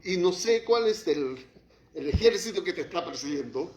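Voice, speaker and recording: A man preaching a sermon as pastor, shifting from quiet, low passages to raised, heated lines. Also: lively intonation, normal speed, slight background noise. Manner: impassioned preaching, drops to quiet, then rises to acted outbursts. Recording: echoey hall, muffled lo-fi sound.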